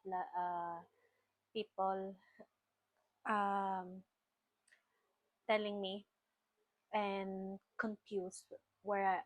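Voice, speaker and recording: Filipina woman, late 30s, Filipino accent; halting, filler-heavy personal vlog talk; mid pitch, dead-dry room. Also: no echo, poor audio. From Philippines. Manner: chatty, halting, with Filipino fillers and frequent restarts. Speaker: vlogger. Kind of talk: casual personal storytelling.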